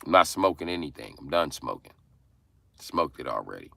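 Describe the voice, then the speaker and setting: A young adult man ranting angrily and forcefully on a livestream from inside his car. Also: extremely low pitch, sing-song, moderate pace, vocal fry, dry recording. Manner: angry, forceful, profane ranting. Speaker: livestream host. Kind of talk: angry profane livestream rant.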